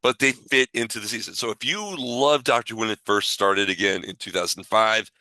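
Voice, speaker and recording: A man co-hosting a casual fan discussion in a halting, self-correcting way, as if thinking aloud. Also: lowish voice, steady tone, sharp tone, no echo. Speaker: podcast co-host.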